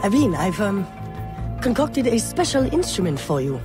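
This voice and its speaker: A man's voice: high-pitched, very animated, moderate pace, music in the background. An actor playing a devoted young male bookkeeper and inventor in a video game, with a flustered, polite, hesitant manner. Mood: shyly eager. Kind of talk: scripted video game acting.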